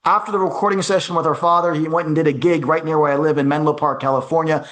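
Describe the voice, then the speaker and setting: An American man answering as an interview guest, in a conversational way, often halting as he thinks aloud. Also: on the high side, steady tone, a bit bright. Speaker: guest, music producer.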